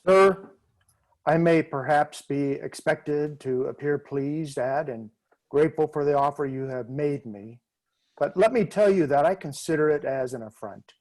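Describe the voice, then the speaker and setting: An actor playing a conceited young gentleman, speaking haughtily and dwelling on his words in a dramatic reading over a video call. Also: fairly high pitch, unhurried. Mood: haughty. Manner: pompous and haughty, lingering on words.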